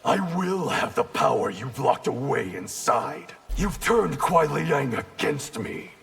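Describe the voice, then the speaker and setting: A very gravelly, bitter ninja warlord. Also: male voice, mid-range voice, expressive, a bit bright, faint music behind, exaggerated voice. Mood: cold, accusing. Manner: defiant, cold, deliberate. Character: bitter, proud male rogue ninja clan leader. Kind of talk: video game dramatic dialogue.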